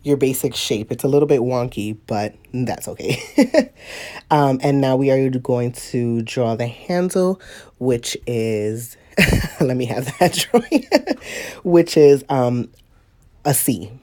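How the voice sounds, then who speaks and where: A woman's voice: very deep voice, mellow. Sniffly woman chatting through a painting lesson. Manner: chatty, laughs often, talks to viewers. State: stuffy nose, sniffly. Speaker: watercolor painting instructor. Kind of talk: casual live tutorial talk.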